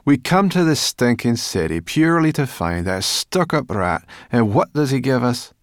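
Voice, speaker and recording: A man's voice: mid-range voice, big pitch swings, moderate pace, no room sound, clean studio sound, pitched above the performer's own voice, natural voice. A man voicing a cross, grumbling female brownie creature in a storybook reading. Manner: grumbling, scolding.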